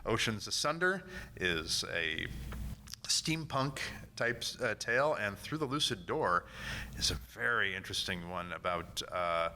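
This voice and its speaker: A man speaking informally as a producer and director on a panel, often pausing to find his words. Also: lowish voice, big pitch swings, pushed and bright. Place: convention panel room. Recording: live panel with audible room noise.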